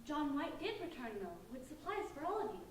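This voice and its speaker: Woman playing a high-voiced protective teenage girl, echoey and distant. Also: very animated, fairly quick. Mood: amazed. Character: sixteen-year-old girl, protective older sister, startled but curious. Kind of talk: staged play reading. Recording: echoey room, distant mic, faint noise.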